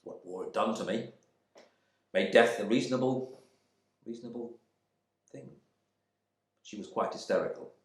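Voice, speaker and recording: Bernard, a man acting a low, stiff husband. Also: normal speed, pauses often, close to an everyday voice. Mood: bitter. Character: Bernard, stiff bitter English husband back from war. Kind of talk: dramatic monologue reading from a novel. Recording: roomy indoor echo.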